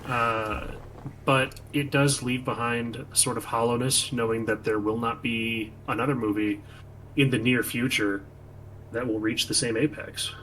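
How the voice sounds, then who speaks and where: A man's voice: mid-range voice, steady tone, unhurried, fairly bright tone, rough recording. A man co-hosting a stream, talking casually about films and giving his commentary. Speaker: stream co-host. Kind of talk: casual film commentary chat. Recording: clear background noise, room ambience.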